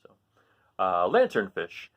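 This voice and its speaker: A man's voice: low voice, wildly swooping pitch, takes it slowly, lo-fi sound. A man reading a children's book aloud expressively, with informal asides spoken directly to young listeners. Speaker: storybook reader.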